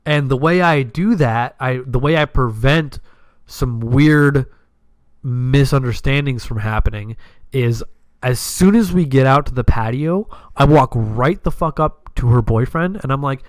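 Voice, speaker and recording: A man hosting a podcast, telling personal stories in a casual, slangy, animated way with plenty of swearing and acted-out dialogue. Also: mid-range voice, unhurried, no echo. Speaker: podcast host.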